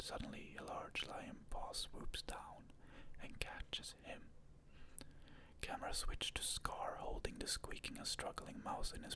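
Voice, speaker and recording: Slow husky whispering reader. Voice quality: breathy, husky. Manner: soft whisper, stage directions. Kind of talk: whispered ASMR script reading.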